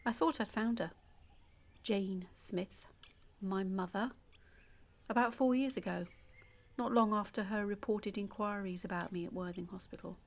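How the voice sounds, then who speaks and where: A woman acting an intimate audio-play monologue as a calm, obsessive, secretly dangerous woman, confiding in a measured, often hushed and breathy way. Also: mid-range voice. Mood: confiding, quietly intense. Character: calm, obsessive, delusional woman abandoned as a baby, secretly murderous. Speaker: actor in a monologue.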